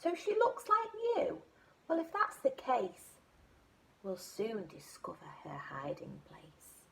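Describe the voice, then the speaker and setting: A woman's voice: medium pitch, wildly swooping pitch, takes it slowly, creaky, slight background noise, heavily acted, rough recording. Woman doing an eager storybook butterfly. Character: eager helpful butterfly guide. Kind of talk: storybook read-aloud.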